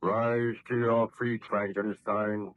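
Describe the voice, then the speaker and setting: Slow, menacing monster, old radio. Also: male voice, lowish voice, level tone, no echo. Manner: slow, declamatory, commanding. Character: monster, menacing, vengeful, slow-speaking creature. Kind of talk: 1930s radio horror acting. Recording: dull lo-fi old radio broadcast, faint noise.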